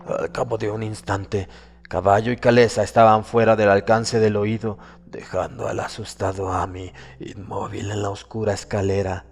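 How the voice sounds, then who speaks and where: A man giving a dramatic, suspenseful narration of a horror audiobook, with deliberate, theatrical phrasing. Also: on the low side, level tone. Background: music.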